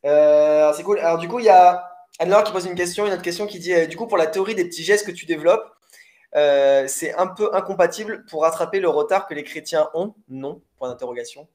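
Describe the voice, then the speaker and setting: A man's voice: on the high side, quick pace, darkish tone. A man co-hosting a casual, joking live discussion and relaying questions from the audience. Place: indoors, sitting beside co-host.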